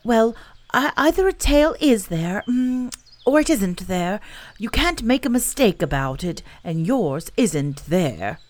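A woman's voice: medium pitch, big pitch swings, slow, darkish tone, no room sound, pitched above the performer's own voice. A woman voicing a kindly, simple male teddy bear in a storybook reading, sounding earnest and a little bumbling.

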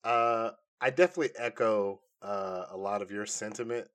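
A man's voice: on the low side, a little fast, a bit bright. A man chatting casually and giving opinions as a podcast co-host, with slangy, conversational phrasing. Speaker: podcast co-host reviewing nerd culture. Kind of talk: casual review chat.

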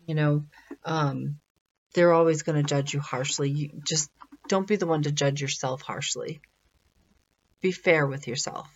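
A woman giving informal motivational advice in a conversational, halting way, repeating phrases for emphasis. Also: low-pitched, steady tone, dull audio, a little hiss or hum. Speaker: motivational spiritual speaker.

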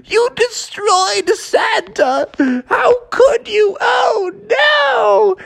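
A man voicing Froggy, an excitable young frog boy who talks in an eager, insistent way. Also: very high voice, extremely slow, vocal fry, voice pushed up, exaggerated voice.

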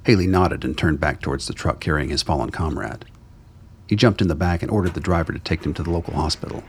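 A man narrating a radio play in a measured, solemn storytelling manner. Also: rumbling low, breathy edge.

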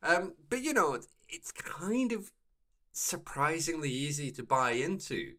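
A man co-hosting a podcast in casual discussion, speaking in a halting way and circling back over his phrases. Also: fairly high pitch, lively intonation, bright, forceful tone. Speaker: podcast co-host.

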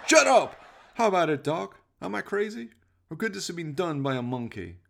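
Radio play detective, performed by a man: baffled, hesitant, plain-talking policeman, agitated, higher-pitched. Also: big pitch swings, moderate pace, creaky, a bit bright, slight background noise, exaggerated voice. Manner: hesitant, colloquial, sweary. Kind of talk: radio play character acting.

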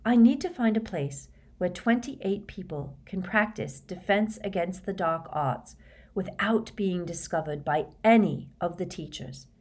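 A woman's voice: on the low side, expressive, measured pace, darkish tone. A woman voicing a teenage boy in a storybook, understated and casual, with a kindly, subdued temper. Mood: earnest.

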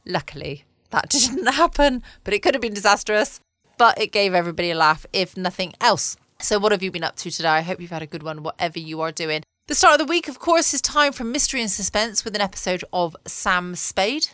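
Lady chatting at home for her late night podcast, relaxed, smooth voice, dry room. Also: mid-range voice, a little fast, no room sound. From England. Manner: chatty and relaxed, with small laughs. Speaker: podcast host.

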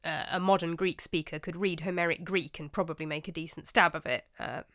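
A woman's voice: on the low side, fairly even intonation, fairly quick, fairly bright tone, no echo, studio quality. A woman with an English accent hosting a storytelling podcast, giving informal commentary on literature in a chatty, slightly hesitant way with wry asides. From England.

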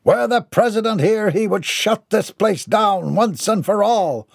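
A man's voice: sits high, expressive, a little slow, vocal fry, breathy edge, no echo, studio quality, voice pushed up, heavily acted. A man voicing a pompous, indignant eccentric who declaims in grandiose, formal language in an audiobook.

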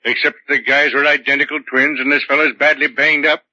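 An actor playing a seasoned, wry arson detective with a matter-of-fact delivery in a 1940s radio drama. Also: male voice, a little high, little pitch movement, fast talker, bright, forceful tone, no room sound. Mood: wry, weary. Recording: muffled, dry vintage radio recording.

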